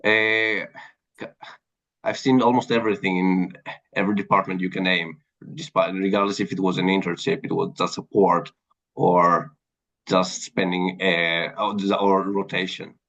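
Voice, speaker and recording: A man's voice: low voice, level tone, moderate pace, fairly bright tone. A man from Greece with a Greek accent, speaking earnestly as an interview guest about his career. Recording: dull lo-fi sound.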